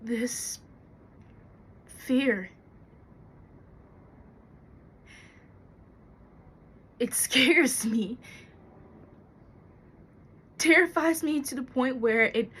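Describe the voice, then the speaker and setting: A woman performing a theatrical monologue as an anxious, fearful young woman, confiding haltingly with dramatic pauses. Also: medium pitch, fairly bright tone, husky. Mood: anxious, intense. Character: anxious young woman confessing a terror of thunderstorms.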